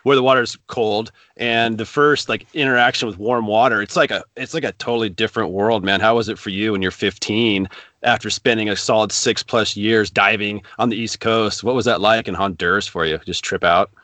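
A man's voice: on the low side, steady tone, a little fast, sharp tone, no echo. A man from California hosting a podcast interview in a laid-back way, full of casual slang. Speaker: podcast host.

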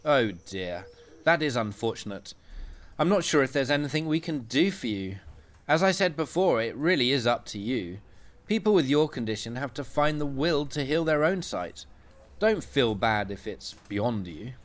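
A man voicing a brisk, condescending senior male hospital consultant with a sardonic, clipped manner. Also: mid-range voice, big pitch swings, voice pushed up, natural voice. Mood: dismissive.

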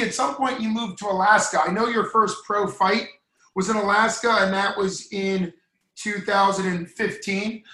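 A man's voice: high-pitched, sharp tone, roomy sound, poor audio. A talkative man hosting an interview podcast and asking long, friendly questions. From United States. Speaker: podcast host. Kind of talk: casual interview.

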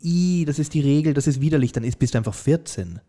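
Young Austrian guy, podcast co-host, chatty and halting, quick to laugh. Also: a little high, warm tone. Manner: halting, restarts sentences, laughs often. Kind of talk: casual chat.

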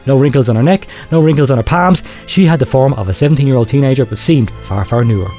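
A man playing a grave researcher, telling a horror confession in a measured, clinical and ominous way. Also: medium pitch, dark tone, soft background music, natural voice. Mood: grim wonder. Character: grave male researcher confessing secret horrific experiments. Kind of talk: dramatic horror storytelling, read aloud.

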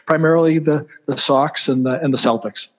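A man's voice: a little high, fairly even intonation, fairly quick, dull audio. A middle-aged man from Boston with a Boston accent, a guest in an interview, talking casually with many fillers and restarts. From Boston, USA.

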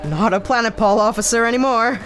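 A woman's voice: fairly high pitch, brisk, over music, natural voice. A woman playing a hesitant, guarded ex-cop pilot. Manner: hesitant, self-deprecating, awkward laughs. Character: guarded female ex-officer pilot, modest hero. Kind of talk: audio drama acting.

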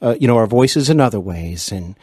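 A man's voice: lowish voice, expressive, a little fast, a little mellow, husky, dry recording. A man hosting a casual interview, speaking in a hesitant, rambling and encouraging way. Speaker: podcast host, interviewer.

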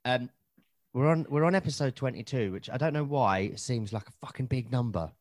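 A man's voice: medium pitch, animated, brisk, no room sound, studio quality. A man co-hosting a casual podcast, swearing freely in blunt banter. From England. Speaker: podcast co-host. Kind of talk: casual chat.

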